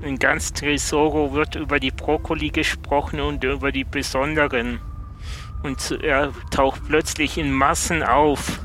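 Inquisitive adventurer male actor, forceful bright tone, on the higher side, improvised audio drama. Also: little pitch movement, measured pace, a little hiss or hum. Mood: earnest.

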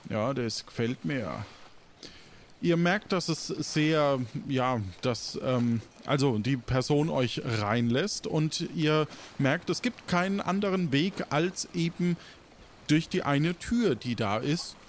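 Halting male game master, faint noise. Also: fairly high pitch, expressive. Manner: halting, casual. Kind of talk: improvised adventure narration.

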